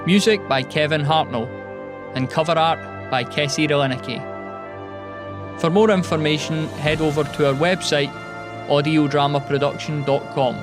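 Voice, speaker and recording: A man reading out the credits of the production in a plain, even way. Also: on the high side, moderate pace. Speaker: podcast announcer. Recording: music bed under voice.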